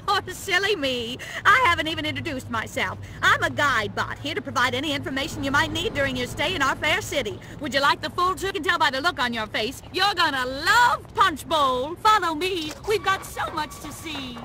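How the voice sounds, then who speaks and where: A woman's voice: high voice, expressive, normal speed, few pauses, bright, forceful tone, slight background noise. A woman voicing a chirpy, naive, over-polite female tour-guide robot in a video game. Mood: perky, helpful. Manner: perky, over-polite helpful chatter. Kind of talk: video game character acting. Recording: music behind the voice.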